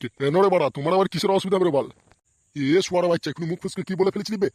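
A man's voice: sits high, rapid-fire, a little mellow, slightly rough, dry recording. A man voicing a bossy, scolding, foul-mouthed older male character in a slapstick comedy cartoon. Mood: irritated. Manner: scolding, blustering, swearing.

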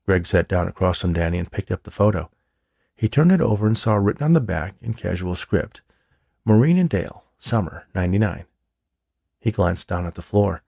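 A man's voice: sits low, animated, a little fast, creaky, a little mellow, breathy edge, dry recording, clean studio sound. A man reading a story aloud as a scripted audiobook narration. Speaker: author reading own novel.

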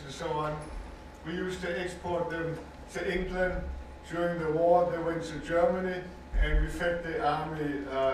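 An older man from Denmark with a Danish accent gives earnest, measured personal testimony as a survivor speaking to an audience, stressing points by repeating words. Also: a little high, background noise. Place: university lecture hall with audience. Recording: echoey hall recording from far off.

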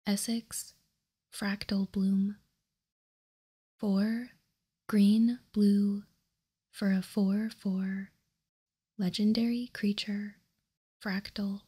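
A woman whispering softly and unhurriedly in ASMR narration as she hosts a tabletop card showcase. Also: medium pitch, little pitch movement, husky. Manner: soft, slow, unhurried whispering. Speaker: ASMR card game video host.

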